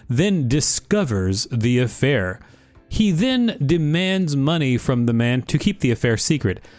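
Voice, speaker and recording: A man's voice: on the high side, a little mellow, no echo. A man co-hosting a podcast, reading an article aloud and chatting casually. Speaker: podcast co-host.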